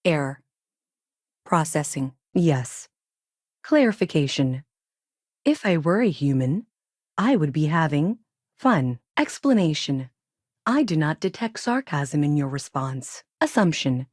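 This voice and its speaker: Game role: self-aware probe AI. Low female voice, very dry sound, robotic and literal, clipped labelled statements, earnest and logical. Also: very animated, unhurried, long pauses, darkish tone, dry recording, heavily acted. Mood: matter-of-fact. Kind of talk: scripted video game dialogue.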